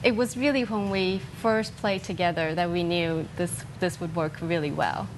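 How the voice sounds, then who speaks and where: A woman's voice: fairly low pitch, fairly even intonation, normal speed, noisy background. A woman violinist and teacher from Taiwan, giving friendly, direct answers with small "uh" fillers as a TV studio guest.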